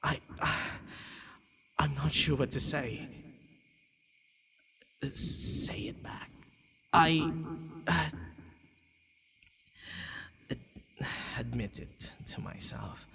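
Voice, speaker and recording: A man playing a centuries-old vampire lover, tender, possessive and flustered, halting and sighing in an intimate ASMR romance roleplay with night insects faintly behind him. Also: mid-range voice, pushed and bright, slight background noise, heavily acted. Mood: hesitant, awed. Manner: halting, stammering, breathy sighs.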